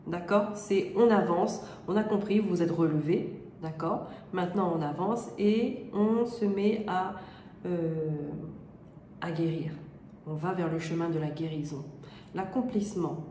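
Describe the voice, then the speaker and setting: A woman's voice: on the low side, a little slow, mellow. Woman with a cold reading tarot cards. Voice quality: stuffy nose. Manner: hesitant, many fillers and rephrasings; checks in with "d'accord?" often. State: has a cold. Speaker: tarot card reader. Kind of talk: casual spoken tarot reading. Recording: roomy echo with faint room noise.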